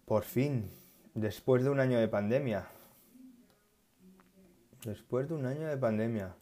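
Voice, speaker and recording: A man chatting casually about tech news, halting often and correcting himself as he goes. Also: mid-range voice, warm tone. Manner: halting, restarts and self-corrections, musing. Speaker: tech vlogger.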